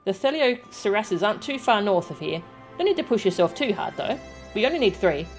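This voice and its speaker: A woman's voice: medium pitch, sing-song, fairly quick. A woman streaming a video game, giving casual, playful, joking commentary as she plays. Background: music.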